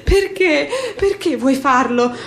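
A woman's voice: high voice, lively intonation, slow, gravelly, voice pushed up, exaggerated voice. A frightened man acted out by a woman in a theatrical reading. He is indignant and alarmed, swinging between orders and pleas in a raised voice, with faint noise in the background. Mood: alarmed pleading. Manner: pleading, commanding, alarmed. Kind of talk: dramatic literary reading on stage.

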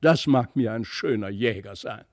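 A man's voice: medium pitch, normal speed, no room sound, studio quality, natural voice. A man voicing a spirited, scornful young boy in a fairy tale, exclaiming boastfully and dismissively. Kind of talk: storybook character reading.

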